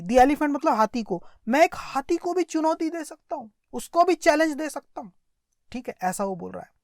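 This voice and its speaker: Female English teacher, Indian accent, fairly high voice, explanatory and repetitive delivery, very dry recording. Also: a little mellow, no room sound. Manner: explanatory, repeats phrases and checks understanding. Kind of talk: lesson translating an English story into Hindi phrase by phrase.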